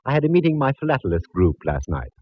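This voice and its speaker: An actor playing a fussy, genteel, doting gentleman friend in a 1940s radio drama, with affected, prissy diction. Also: medium pitch, big pitch swings, fast talker, breathy edge. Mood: fussy, soothing. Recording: dull vintage radio recording.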